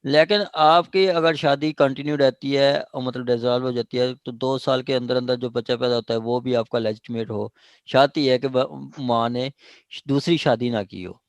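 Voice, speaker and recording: A man's voice: mid-range voice, steady tone, normal speed, no room sound. A man with a Pakistani accent lecturing on law, reading out statutes and explaining them while switching between two languages. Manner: explanatory, code-switching between Urdu and English, with frequent check phrases.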